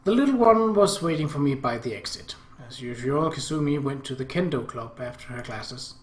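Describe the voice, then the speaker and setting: A man's voice: mid-range voice, lively intonation, moderate pace, roomy sound. A man reading visual novel narration aloud as a let's play host.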